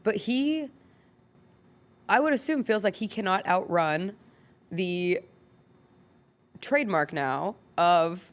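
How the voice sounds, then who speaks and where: A woman's voice: medium pitch, expressive, slow pace, pauses often. An American woman hosting a talk show, giving conversational commentary. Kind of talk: conversational sports and culture commentary.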